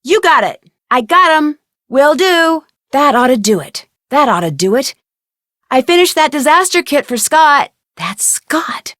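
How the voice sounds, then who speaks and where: A woman's voice: high voice, sing-song, measured pace, a bit bright, dry recording, studio quality, full character voice. A woman voicing a plucky, curious young female detective in a video game, speaking earnestly and conversationally as if thinking aloud. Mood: cheerful, eager, then curious, puzzled. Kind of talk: scripted video game voice acting.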